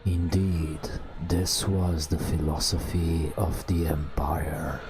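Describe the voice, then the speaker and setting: A man softly narrating a video game as an ASMR bedtime story, hushed and drawn out, with long pauses. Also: a bass voice, fairly even intonation. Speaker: host narrating gameplay. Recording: music behind the voice. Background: game music.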